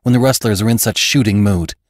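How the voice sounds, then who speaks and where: A man's voice: fairly low pitch, fairly quick, no room sound, studio quality, close to an everyday voice. A man voicing an anxious, pleading young woman rancher in a western audiobook, with emotional, exclamatory delivery. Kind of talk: audiobook character acting.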